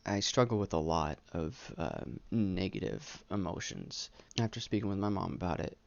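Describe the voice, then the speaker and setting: A young adult man talking candidly about himself as a vlogger, halting and stuttering on words, earnest and self-deprecating. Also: low voice, lively intonation, gravelly, no room sound, muffled recording. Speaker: YouTube vlogger. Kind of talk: candid personal monologue, casual chat. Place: small room indoors.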